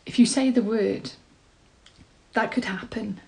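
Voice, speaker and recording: A woman's voice: fairly high pitch, unhurried, gravelly, a little mellow. Woman acting a nervous, tender romantic woman. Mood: tender, hopeful. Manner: earnest, self-deprecating, hesitant. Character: nervous, tender, rambling woman proposing marriage. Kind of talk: dramatic monologue.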